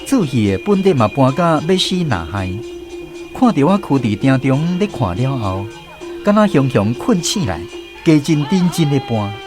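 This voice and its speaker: A Taiwanese man tells a scripted story as a radio host. His medium-pitched voice moves through lively rises and falls, with clear instrumental music playing behind him. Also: moderate pace.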